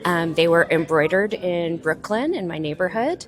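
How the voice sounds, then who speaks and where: A woman's voice: fairly low pitch, lively intonation, moderate pace. A woman answering interview questions conversationally, as a vendor and writer at a convention.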